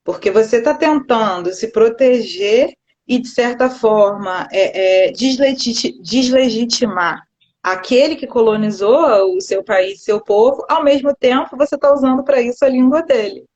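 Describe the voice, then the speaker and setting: A woman's voice: a little high, a little slow, a little mellow. A woman, a schoolteacher, reflecting and explaining her thoughts at length on a live video call. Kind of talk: casual conversation on a live stream. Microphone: phone or laptop on a live video call. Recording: dull lo-fi call audio.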